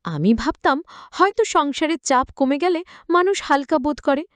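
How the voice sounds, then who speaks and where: High-pitched, lively woman narrator. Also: moderate pace, no echo. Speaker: story narrator. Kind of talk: scripted narration of an emotional story.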